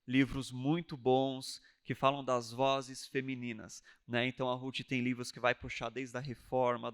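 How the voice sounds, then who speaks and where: A man's voice: medium pitch, sharp tone, clear, resonant tone. A man hosting a church talk show, introducing guests with effusive enthusiasm. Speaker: host.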